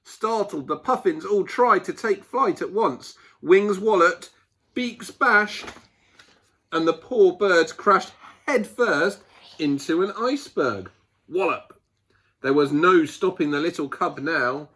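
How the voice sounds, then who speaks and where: Man, children's storyteller, slightly high voice, lively pitch, slow dramatic pacing, expressive emphasis. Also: husky. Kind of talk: animated picture-book read-aloud.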